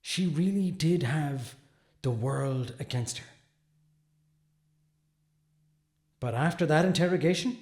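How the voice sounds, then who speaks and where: A man's voice: on the high side, normal speed, a little mellow, echoey room, close to an everyday voice. A man playing an earnest, protective defense attorney in an audio drama. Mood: reflective, impassioned. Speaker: actor playing a defense lawyer. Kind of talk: dramatic courtroom monologue and dialogue.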